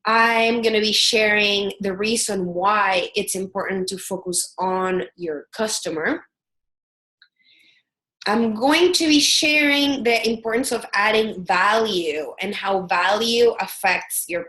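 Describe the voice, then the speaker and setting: A woman's voice: mid-range voice, slow pace. Salvadoran lady in her 30s doing a business webinar, um-ing and restarting a lot, kinda echoey room. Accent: Salvadoran Spanish. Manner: earnest, steady, frequent fillers and restarts. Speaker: product strategist. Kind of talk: webinar presentation.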